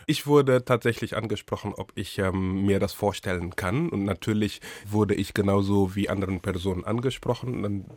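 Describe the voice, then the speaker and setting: A man's voice: on the low side, normal speed, hardly any pauses, darkish tone, no room sound. A man from Senegal, answering as a guest in a radio interview, thoughtful and searching for words. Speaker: guest, literary scholar and curator.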